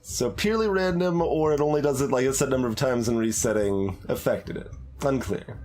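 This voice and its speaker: A man giving casual, halting playthrough commentary as a game streamer, thinking aloud in an analytical, musing way. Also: medium pitch, a little mellow, faint music behind.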